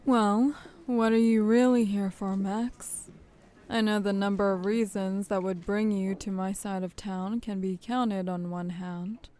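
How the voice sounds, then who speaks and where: A woman's voice: mid-range voice, fairly even intonation, unhurried, dark tone, natural voice. A woman acting as a tough, sly, self-assured syndicate enforcer in an audio drama, with a taunting manner. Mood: probing, menacing.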